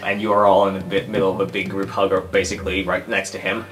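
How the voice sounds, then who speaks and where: A man's voice: low-pitched, monotone, fast talker, over music. A man acting as game master, narrating and explaining rules in an improvised tabletop roleplay.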